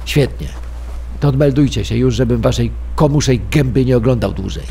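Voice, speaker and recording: An actor playing a crude, domineering police commandant in a radio crime drama, gruff and foul-mouthed. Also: male voice, mid-range voice, brisk, warm tone, a little hiss or hum, lightly acted. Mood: curt, scornful. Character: crude, bullying, vindictive provincial police commandant.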